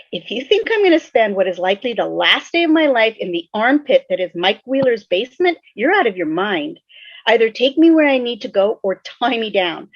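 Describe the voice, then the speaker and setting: A woman's voice: on the high side, no echo. A woman playing a sardonic, stubborn teenage girl, blunt and resigned to doom, in a fan table read over a dull-sounding video call. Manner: blunt, sarcastic.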